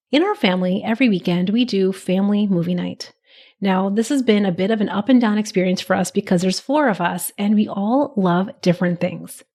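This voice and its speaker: Slightly raspy woman podcast host. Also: mid-range voice, darkish tone. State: raspy, recovering from a cold. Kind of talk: solo podcast monologue.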